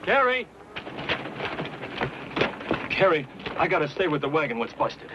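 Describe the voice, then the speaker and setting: A man's voice: fairly high pitch, big pitch swings, measured pace. An actor playing a reassuring, homespun pioneer husband and father in a western radio drama, with a folksy frontier dialect. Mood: hurried. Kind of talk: old-time western radio drama acting. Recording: dull, very noisy old radio recording.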